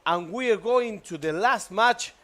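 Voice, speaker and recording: A man's voice: sits high, fairly bright tone. Enthusiastic Argentine man commentating esports. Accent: Argentine Spanish. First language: Spanish. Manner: enthusiastic, halting English with restarts.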